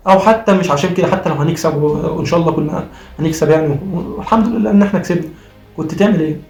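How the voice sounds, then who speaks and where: A man's voice: on the high side, very fast, darkish tone. An Egyptian man with a worn-out, strained voice gives impassioned, argumentative football commentary as a vlogger, repeating phrases for emphasis. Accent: Egyptian Arabic. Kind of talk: impassioned post-match fan commentary. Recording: roomy echo, faint music behind.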